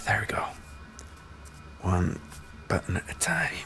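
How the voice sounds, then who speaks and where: A man's voice: sits low, very animated, slow, bright, forceful tone, whispered, faint music behind, exaggerated voice. A man playing an injured young race-car driver boyfriend, tender and playfully needy, in an intimate roleplay audio. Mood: strained, careful.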